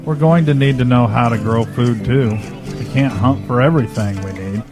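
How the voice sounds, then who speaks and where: Actor as male lead: relaxed, loving husband, average pitch, music bed. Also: moderate pace, close to an everyday voice. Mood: easygoing. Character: easygoing, affectionate husband and trained fighter. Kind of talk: audio drama acting. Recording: music behind the voice.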